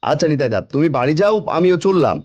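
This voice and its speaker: A man's voice: on the high side, very animated, fast talker, a little mellow, dry recording, muffled recording. A man voicing a chatty, fretting village farmer with a rustic, familiar manner in a Bengali cartoon fairy tale.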